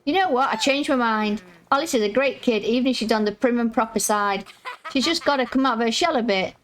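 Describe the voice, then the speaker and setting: Woman reading as a cheeky kid, blunt, slangy, croaky, a bit high. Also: a little fast, lightly acted. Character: brash, cheeky young girl who loves racing games.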